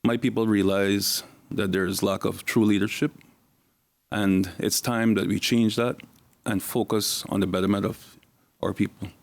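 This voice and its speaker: Hoarse, gravelly man. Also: fairly low pitch, steady tone, normal speed. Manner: blunt, plain-spoken, repeats himself. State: hoarse from flu. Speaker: election candidate. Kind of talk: campaign speech at a public forum.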